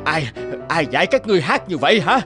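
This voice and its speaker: A man's voice: on the high side, big pitch swings, quick pace, music in the background. A man voicing a greedy, vain, blustering village landlord in a cartoon, pompous and bossy. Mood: indignant. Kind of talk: cartoon dubbing.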